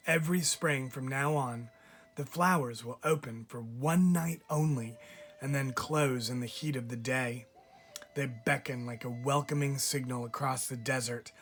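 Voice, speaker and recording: A man reads a picture book aloud for children in a measured, expressive storytelling style. He leaves deliberate pauses between phrases, like a teacher reading to pupils. Faint music plays behind his voice. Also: mid-range voice.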